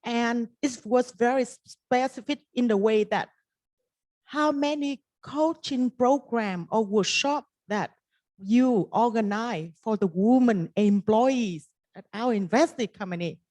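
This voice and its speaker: A woman's voice: fairly high pitch, slow, a little mellow, dry recording. A woman from Vietnam with a Vietnamese accent, a native Vietnamese speaker, telling her personal story as a panelist. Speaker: investment firm partner on a panel.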